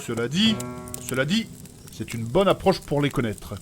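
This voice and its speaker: An actor playing a learned fantasy priest who explains things in a formal, politely pedantic, storytelling way in a comedy audio drama. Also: male voice, on the high side, big pitch swings, normal speed. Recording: faint music behind the voice.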